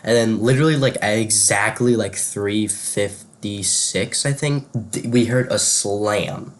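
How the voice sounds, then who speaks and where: A man's voice: fairly low pitch, unhurried. A young man chatting and telling stories casually, in loose slangy speech full of "bro" and "like". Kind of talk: casual spoken storytelling and chat.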